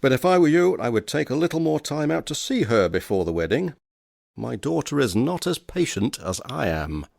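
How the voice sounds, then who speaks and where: A man playing a paternal, authoritative older Victorian gentleman with a creaky voice, in stage drama style. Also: medium pitch, sing-song, moderate pace, no echo, studio quality. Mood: encouraging, fatherly.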